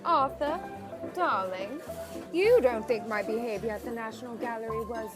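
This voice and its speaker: High, teasing society belle acted by a woman. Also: normal speed, close to an everyday voice. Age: young adult. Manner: theatrical, teasing. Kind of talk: scripted radio drama acting. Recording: loud music behind the voice.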